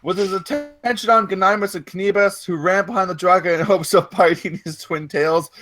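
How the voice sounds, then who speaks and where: A man with a high voice reads fan fiction aloud as host. He is jokey and riffs on the text, repeating lines for emphasis and adding asides. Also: steady tone, normal speed. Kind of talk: mocking read-aloud with joking asides.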